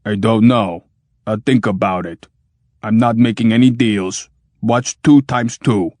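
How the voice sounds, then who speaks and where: A man voicing a dim-witted, stubborn giant machine that booms slowly and flatly. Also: no room sound, lightly acted. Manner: booming slow and flat.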